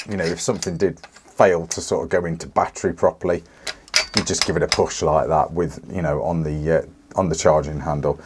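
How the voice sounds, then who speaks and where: A British man in his thirties, a little croaky from a cold, casually reviewing a rifle with many fillers and restarts. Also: lowish voice, a little mellow. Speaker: firearms reviewer.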